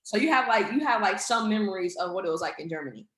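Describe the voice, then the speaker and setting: Woman podcast host, mid pitch, echoey room sound, chatty and upbeat. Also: expressive, quick pace, fairly bright tone. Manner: upbeat, filler-heavy questioning. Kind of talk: casual interview hosting.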